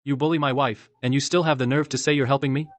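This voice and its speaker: A man's voice: mid-range voice, steady tone, fast, natural voice. A man playing a defiant younger brother protective of his wife in a dubbed family radio drama. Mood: defiant, angry.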